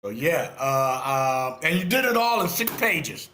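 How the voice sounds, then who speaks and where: A man giving animated, informal feedback on a screenplay, theatrical and emphatic, repeating phrases for effect. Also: mid-range voice, measured pace, echoey room. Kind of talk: animated, informal script critique. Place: online video meeting.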